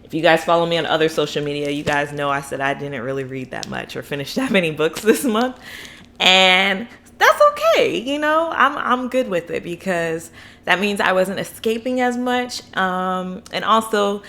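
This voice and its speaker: A woman's voice: on the low side, a bit bright. A young adult woman from Florida reviewing books in a casual, rambling, enthusiastic vlog, full of fillers and asides. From Florida, USA. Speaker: book vlogger.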